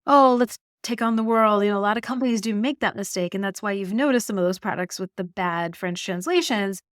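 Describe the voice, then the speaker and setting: Casting: middle-aged Canadian woman, animated storyteller, mid pitch, dry close mic. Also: a little fast, dry recording. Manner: animated storytelling, laughs often. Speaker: marketing executive guest. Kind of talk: podcast interview conversation.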